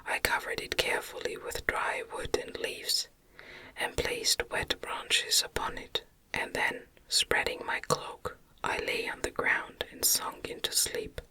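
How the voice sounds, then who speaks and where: A narrator reading a gothic novel as a gentle, deliberate ASMR whisper.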